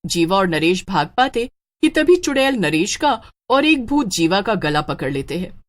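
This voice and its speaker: A woman's voice: mid-range voice, sing-song, moderate pace. A woman storyteller reading scripted narration for a horror story.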